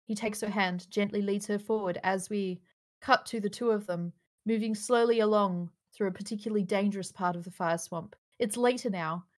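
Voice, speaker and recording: A woman's voice: medium pitch, fairly even intonation, moderate pace. A woman reading stage directions aloud as narrator in a live script table read over a video call.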